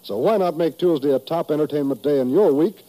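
A male American announcer in vintage 1950s radio style. His voice is a little high for a man and very dark in tone, with a polished promotional delivery. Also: fairly quick, rough recording.

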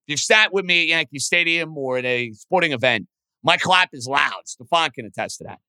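A man with a booming voice hosting a sports podcast, excitable and emphatic in short punchy phrases. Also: fairly quick, smooth, no echo. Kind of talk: energetic sports commentary and interview.

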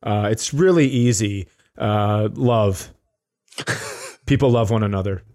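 A man's voice: on the low side, slow, darkish tone. A man co-hosting a comedy podcast, bantering casually with dry, teasing remarks. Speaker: podcast co-host.

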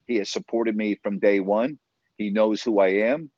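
Low-voiced earnest man in his fifties. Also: steady tone, normal speed, dry recording. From New York. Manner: earnest and emphatic, repeats words when stressing a point. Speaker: guest, sports broadcaster. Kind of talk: conversational interview storytelling. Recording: dull, dry sound.

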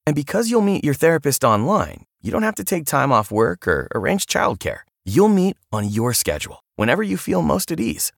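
A man's voice: on the high side, big pitch swings, normal speed, creaky, hardly any pauses, no room sound, clean studio sound. A man giving a scripted sponsor read with upbeat promotional phrasing. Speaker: ad reader.

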